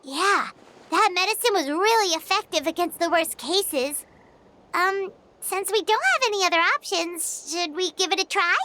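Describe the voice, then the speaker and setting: A woman's voice: very high-pitched, moderate pace, pushed and bright, a little hiss or hum. A woman voicing a small, childlike game companion, helpful and talkative, with a cute, emphatic, explaining manner. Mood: cautious. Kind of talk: voiced video game dialogue. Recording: background game music.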